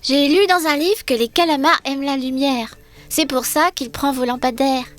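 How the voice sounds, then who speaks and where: A woman voicing a brave, kind young girl adventurer in a children's cartoon, expressive and encouraging. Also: high-pitched, moderate pace, close to an everyday voice.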